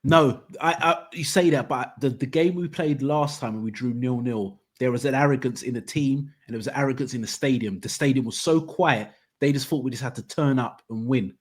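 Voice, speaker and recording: Husky-voiced man debating football. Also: medium pitch, brisk, few pauses. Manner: rambling, restarts sentences, animated. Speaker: football podcast host.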